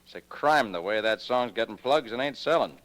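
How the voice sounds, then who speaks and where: An actor playing a brusque, wry music publisher with slangy grumbling talk in a 1940s radio detective drama. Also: male voice, mid-range voice, animated, fairly quick, a bit bright, lightly acted, low-quality recording. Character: gruff, wisecracking music publisher, grumbling about sales.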